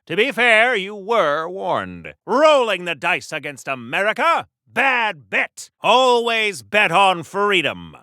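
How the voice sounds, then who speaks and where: A man's voice: high-pitched, bright, forceful tone, dry recording, clean studio sound, exaggerated voice. A man playing a pompous, chipper 1940s patriotic superhero in a video game, declaiming old-fashioned wholesome patter like a radio serial hero. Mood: triumphant, taunting.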